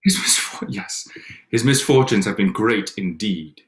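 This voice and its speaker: A man acts out a proud, wealthy young gentleman of Regency drama. The voice is low and rings in an echoey room. He speaks stiffly and formally, haltingly, like an awkward suitor. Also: lively intonation. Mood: bitter, defiant. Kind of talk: staged reading of a period drama. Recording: echoey room over online call.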